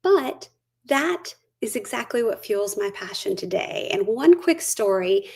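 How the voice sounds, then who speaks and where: A woman's voice: medium pitch, animated, a little slow, gravelly, darkish tone, slightly rough. A woman in her forties from Brazil, a curriculum lead giving an upbeat webinar presentation with personal stories over a computer mic. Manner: upbeat, eager, personal storytelling.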